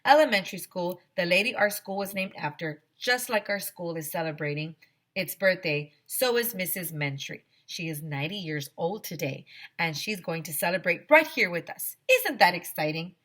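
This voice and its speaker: A proud school principal from a children's storybook addresses his assembly, acted by a woman. His announcements are emphatic, with a widely varying pitch. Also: lively intonation, moderate pace, fairly bright tone.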